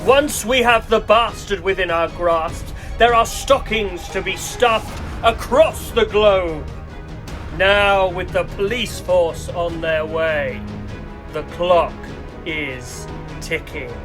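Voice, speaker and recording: Evil santa tyrant in a radio play, high pitched for a man, slow pompous speeches, bit of music behind. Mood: menacing, grandiose. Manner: pompous, theatrical oratory.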